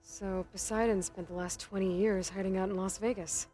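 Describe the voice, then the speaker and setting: A woman's voice: mid-range voice, steady tone, moderate pace, warm tone, lightly acted. A young woman voicing a determined young female hunter in a video game, talking in a direct, inquisitive way. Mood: steady. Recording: game audio with music.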